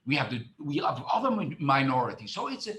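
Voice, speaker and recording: A man's voice: mid-range voice, sing-song, fast, a bit bright, slight background noise. A middle-aged man from the Netherlands, a tourism marketing expert who speaks with many fillers and restarts in an online presentation.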